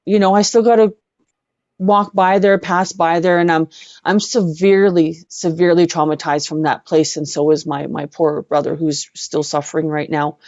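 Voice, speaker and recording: Middle aged canadian lady, pretty deep voice, halting heartfelt interview storytelling, dull dry online audio. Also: flat delivery, a little mellow, no echo. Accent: western Canadian. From Edmonton, Canada. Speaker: interview guest.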